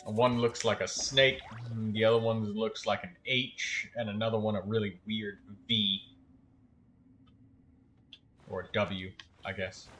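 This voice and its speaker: A man's voice: lowish voice, level tone, a little slow, fairly bright tone, slight background noise. A man giving casual, instructive gameplay commentary in colloquial language, as a gaming host helping another player. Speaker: livestream game host.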